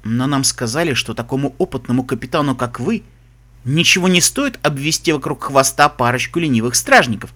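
A man's voice: medium pitch, lively intonation, a little fast, bright, forceful tone, pitched below the performer's own voice. A man voicing a polite, careful young male pony traveller in an audiobook, persuasive and slightly hesitant. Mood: courteous. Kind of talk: audiobook character voice.

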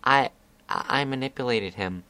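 A man's voice: moderate pace, pushed and bright, clear, resonant tone, close to an everyday voice. A man voicing a stubborn, indignant young female pegasus filly in a raised, earnest voice. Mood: upset. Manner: pitched up, earnest and upset.

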